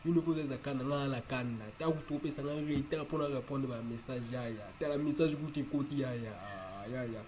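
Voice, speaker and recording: A man's voice: mid-range voice, brisk, few pauses, darkish tone, a little hiss or hum, lightly acted, low-quality recording. A man voicing an exasperated, argumentative cartoon man who repeats himself insistently in casual dialogue.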